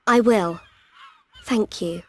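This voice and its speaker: An actress playing a hopeful, sweet young heroine in a video game, with a breathy voice. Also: female voice, mid-range voice, slow. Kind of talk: dramatic video game voice acting.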